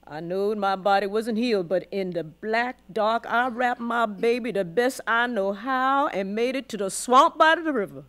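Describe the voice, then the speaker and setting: A woman's voice: a little high, moderate pace, few pauses, fairly bright tone, natural voice. A woman acting a weary, devout old formerly enslaved grandmother in a radio drama, with a Southern US accent and solemn, emotive storytelling. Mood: fearful, haunted.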